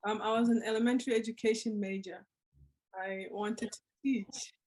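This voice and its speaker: A woman's voice: fairly high pitch, fairly even intonation, moderate pace, low-quality recording. A woman in her twenties telling her personal story on a panel, earnest and reflective, over a video call. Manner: earnest, reflective, with soft laughs. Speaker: panel speaker.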